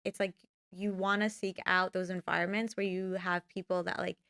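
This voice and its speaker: A woman's voice: on the low side, little pitch movement, moderate pace, gravelly, bright, forceful tone, clear, resonant tone, no room sound, studio quality. A young woman, a filmmaker guest, talking casually in a podcast interview and rambling with many fillers. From United States. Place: podcast studio.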